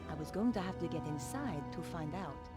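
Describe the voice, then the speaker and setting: A woman's voice: lowish voice, animated, brisk, over loud music, natural voice. A woman playing a determined, stubborn young investigative reporter in an adventure game. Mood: reflective. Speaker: game protagonist and narrator.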